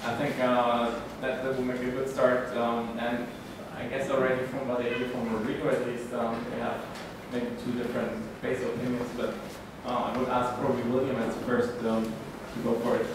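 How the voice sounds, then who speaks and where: A man hosts a live panel, stumbling through his lines and filling pauses as he goes. He is caught from across the room, and the walls throw his voice back. A steady background noise sits under him. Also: medium pitch. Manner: halting, many ums and restarts. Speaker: panel moderator. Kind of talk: hesitant panel hosting. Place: event room with audience. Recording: echoey room, distant mic, background noise.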